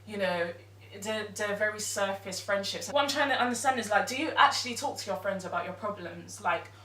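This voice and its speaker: A woman's voice: medium pitch, a little fast, a bit bright. A woman in her twenties hosts a casual panel chat with friends. She speaks upbeat and often murmurs agreement while the others talk. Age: 20s. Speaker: host. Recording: echoey room, distant mic, faint noise.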